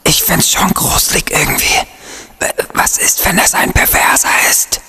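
A man's voice: normal speed, sharp tone, no echo. A young man playing a wry, hesitant student sidekick who grumbles, in a radio play. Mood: uneasy.

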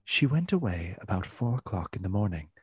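Male narrator for radio play, lower register, formal literary storytelling. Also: very animated, fairly quick, husky, dry recording, faint music behind.

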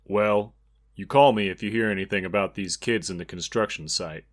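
Man as a low-voiced, menacing folksy policeman. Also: moderate pace, natural voice. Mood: falsely friendly. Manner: probing, folksy, intimidating. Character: policeman, folksy, falsely friendly, menacing adult villain. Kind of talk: audiobook character dialogue.

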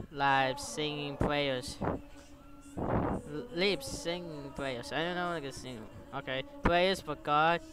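A gaming streamer, giving exclamatory, halting English commentary and reading game text aloud. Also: mid-range voice, low-quality recording. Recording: clear background noise and clicks.

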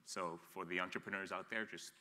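A man in his thirties with a very low, bright and forceful voice, talking bluntly on a panel stage. He restarts and repeats words as he goes. His voice rings with the long reverb of a hall. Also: fairly even intonation, brisk. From grew up in Ethiopia. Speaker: panelist, agribusiness entrepreneur. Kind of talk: candid panel talk. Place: studio stage set, seated in an armchair.

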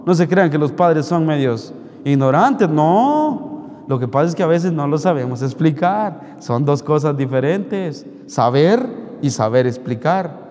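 Middle-aged Mexican priest, lively homily with teasing rhetorical questions; slightly high voice, handheld mic in a reverberant church. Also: male voice, measured pace. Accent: Mexican Spanish. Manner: animated, joking, scolding with rhetorical questions. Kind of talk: homily at Mass. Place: large church, indoors. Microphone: handheld microphone.

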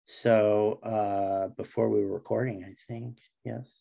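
A man's voice: fairly low pitch, flat delivery, unhurried, creaky, mellow. A middle-aged man chatting casually as a writer guest in an interview over a video call. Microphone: video call microphone.

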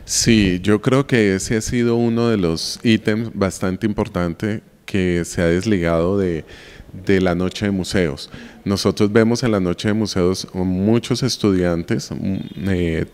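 A deep-voiced man in his forties explains things at an unhurried pace, often checking that the listener follows. He talks into a handheld interview mic inside an old house, and the room adds a long reverb tail. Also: steady tone, a little mellow, clean tone. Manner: reflective, explanatory, frequent "¿sí?" checks and restarts. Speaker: university lecturer and museum guide. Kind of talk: interview answers. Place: indoor room of a colonial house museum. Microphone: handheld mic held by interviewer.

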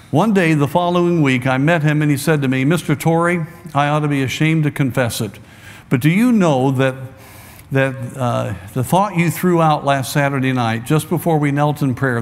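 A man in his fifties delivers an earnest sermon from a pulpit microphone, his voice sitting a little high for a man. Long reverb trails off the walls of the big sanctuary. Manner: earnest, exhorting, animated gestures at the pulpit. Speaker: preacher. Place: large church sanctuary, behind a wooden pulpit.

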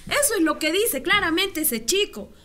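A woman's voice: extremely high pitch, sing-song, moderate pace, sharp tone, heavily acted. A woman playing a principled, indignant schoolteacher in a radio drama, earnest and challenging. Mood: indignant, insistent.

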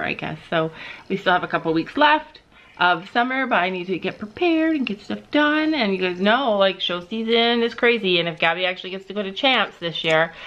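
A woman's voice: on the low side, a bit bright. A woman in her fifties from Canada, a mother hosting a family vlog, chatting in a rambling way. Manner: chatty, rambling, coos at animals. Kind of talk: casual vlog chat. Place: home office, then car and horse barn. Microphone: handheld camera at arm's length. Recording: dull lo-fi camera audio, faint background noise.

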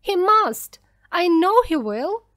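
A woman's voice: a little high, very animated, slow, fairly bright tone, full character voice. A woman voicing a desperate, pleading mother in a storybook, crying out insistently. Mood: fervent, frantic. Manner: screaming, insistent, imploring. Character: desperate, pleading mother stranded on storm-beaten rock.

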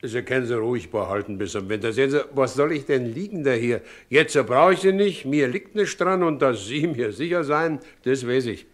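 An actor playing a good-natured, plain-spoken widowed carter of middle age in a 1960s radio play, brooding and hesitant, with rustic phrasing. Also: male voice, medium pitch, expressive, moderate pace, few pauses, natural voice. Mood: plain, resigned.

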